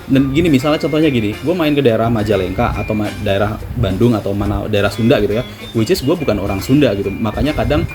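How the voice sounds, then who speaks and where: A man with a Javanese accent chats rapidly to the camera in a slangy, self-deprecating way. His voice sits low, and clear background music plays under his talk. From Java. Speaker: travel vlogger.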